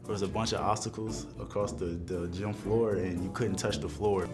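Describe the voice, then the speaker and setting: A man's voice: deep voice, steady tone, moderate pace. A young man, a college basketball player, giving casual answers to fan questions with music behind him. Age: young adult.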